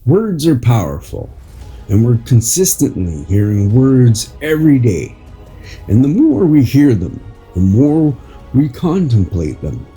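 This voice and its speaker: Male narrator, very husky and breathy, for unhurried inspirational reading; pitch moves freely, light music underneath. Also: sing-song, dark tone. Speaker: podcast host and article reader. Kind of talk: slow read narration of an inspirational article.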